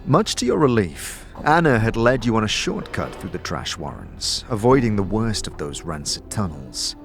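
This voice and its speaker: Low-voiced man narrating fantasy. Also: sing-song, normal speed, creaky, soft background music. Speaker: narrator and adapter of an audio drama series. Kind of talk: scripted second-person fantasy narration.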